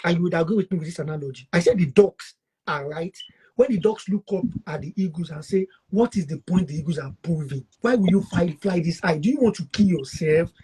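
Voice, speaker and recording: A man's voice: a little high, a little fast, warm tone, husky, poor audio. A man hosting a panel talk show, asking probing questions and often restarting them. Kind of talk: moderating a panel discussion.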